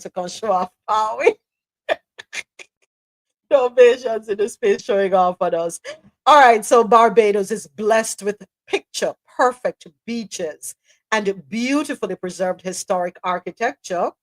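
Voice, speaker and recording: A woman's voice: fairly high pitch, no echo. A woman with a Jamaican accent hosting a live radio chat show, reading articles aloud and laughing as she slips into patois. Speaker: host.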